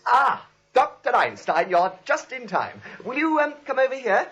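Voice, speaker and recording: A man's voice: extremely high pitch, huge pitch swings, moderate pace, fairly bright tone, slightly rough, heavily acted. A man playing a flustered, placating nephew in 1940s screwball radio comedy, stammering and nervous. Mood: flustered, coaxing. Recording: dull vintage radio recording.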